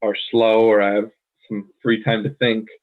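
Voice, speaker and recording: A man from the United States co-hosting a casual chat, thoughtful and pausing often with "um". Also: fairly low pitch, little pitch movement. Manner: thoughtful, many "um" fillers. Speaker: podcast co-host. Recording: tinny phone-like line.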